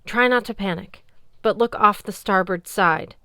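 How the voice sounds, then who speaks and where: A woman voicing a quick-thinking young woman who speaks with urgent, tense strain. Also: medium pitch, pushed and bright, smooth, dry recording, studio quality.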